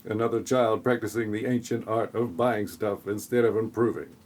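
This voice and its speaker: A man narrating a scripted audio play in a tongue-in-cheek, deadpan way with sarcastic asides. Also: lowish voice, moderate pace.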